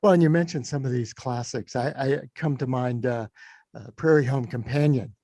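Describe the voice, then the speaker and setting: A man hosting a conversational interview, phrasing his questions in a thoughtful, halting way. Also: medium pitch, dark tone, dry recording, clean studio sound. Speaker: interviewer, podcast host.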